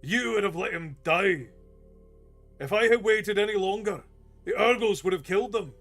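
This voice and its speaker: A man doing a gruff, hot-tempered dwarf warrior. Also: moderate pace, faint music behind. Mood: angry, insistent. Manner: loud, forceful, raised high.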